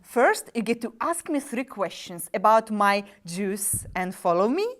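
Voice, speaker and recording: Demon soul broker, played by a woman: slow, swooping delivery, slick and mocking, theatrical show-business patter. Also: medium pitch, big pitch swings, a bit bright. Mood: breezy, condescending. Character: smooth-talking, wisecracking demon sub-agent dealing in damned souls. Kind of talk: dramatic script reading.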